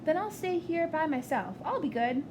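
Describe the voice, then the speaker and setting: A sleepy little boy from a picture book played by a woman reading aloud, curious and prone to grumbling. The voice sits fairly high, with clear noise in the recording. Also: sing-song, normal speed. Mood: pleading.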